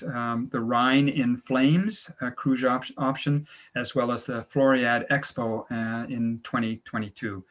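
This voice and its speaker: A middle-aged man from Canada, hosting an online travel presentation, talking haltingly with many fillers and restarts. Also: mid-range voice, a bit bright. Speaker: travel agency owner and webinar host.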